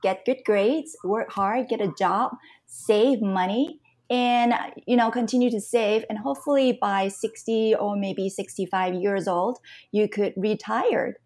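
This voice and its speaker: A woman's voice: mid-range voice, a little slow, darkish tone. A middle-aged woman who grew up in Canada, speaking as a podcast guest. She tells her life story in an earnest, conversational way, now and then restarting a phrase. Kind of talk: podcast interview.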